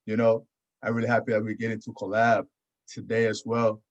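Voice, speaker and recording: A man's voice: lowish voice, completely flat delivery, brisk. A man hosting a podcast in casual chat, often repeating his phrases. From Houston area, United States. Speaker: podcast host. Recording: dull, lo-fi audio.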